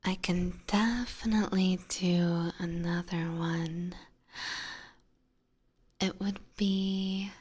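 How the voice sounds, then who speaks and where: A woman softly whispering in a gentle, hesitant ASMR style, chatting casually with viewers up close to the microphone. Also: lowish voice, steady tone, extremely slow, long pauses. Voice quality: soft, breathy, mostly whispered. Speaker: ASMR streamer and host. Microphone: close binaural ASMR microphone.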